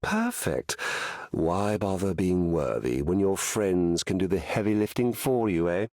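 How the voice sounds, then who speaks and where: A sly game trickster. The voice is low and dark, wry and teasing, in a dry recording. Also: male voice, unhurried, no echo. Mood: mocking. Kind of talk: video game character voice lines.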